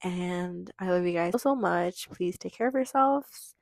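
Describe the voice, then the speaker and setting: A teenage girl hosting her own podcast, chatting in a rambling, confessional way with lots of fillers, her voice hoarse from losing it. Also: on the low side, a little slow, darkish tone, no room sound. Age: teenager in high school. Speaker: podcast host. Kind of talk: casual confessional monologue.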